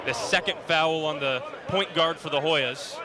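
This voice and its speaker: A man calling live basketball play-by-play on radio with an energetic, excitable delivery. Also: fairly high pitch, a little slow, a crowd in the background. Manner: energetic, rising excitement on big plays. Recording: loud arena noise behind the voice. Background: basketball arena with bouncing ball.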